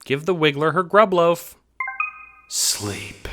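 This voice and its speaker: A man's voice: fairly high pitch, big pitch swings, slow, music in the background, pitched above the performer's own voice. A man voicing a mocking, bossy alien troll teenager in an audio drama, with a sneering, exasperated, theatrical delivery.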